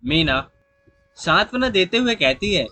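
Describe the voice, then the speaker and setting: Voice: medium pitch, very animated, a little slow, bright, forceful tone, a little hiss or hum. A narrator reading a scripted moral story in a storytelling style.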